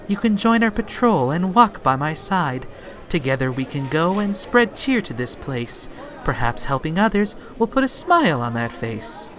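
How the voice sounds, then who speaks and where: A man voicing a kind young female pony princess in a storybook parody, gentle and encouraging. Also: a little high, sing-song, moderate pace, slight background noise.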